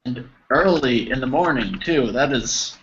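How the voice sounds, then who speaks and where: A man's voice: medium pitch, expressive, measured pace, a bit bright. An American man teaching an online English class in an encouraging, informal way. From United States. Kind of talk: casual group class instruction. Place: online video call. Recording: dull, noisy video call audio.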